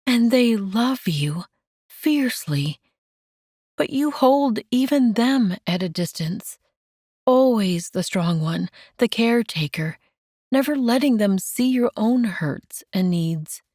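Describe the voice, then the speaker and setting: A woman audiobook narrator plays a caring young woman in a romance story. She speaks slowly in a raised pitch, tender and encouraging with a gentle teasing streak. The recording is dry and close. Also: expressive, dry recording.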